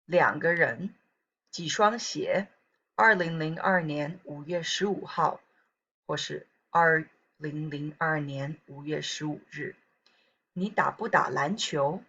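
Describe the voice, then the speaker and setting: A woman Chinese teacher with a southern Taiwanese accent, reading practice sentences slowly with casual asides to her students. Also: deep voice, bright, forceful tone, breathy edge. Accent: southern Taiwanese Mandarin. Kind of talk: recorded pronunciation lesson. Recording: dull lo-fi recording.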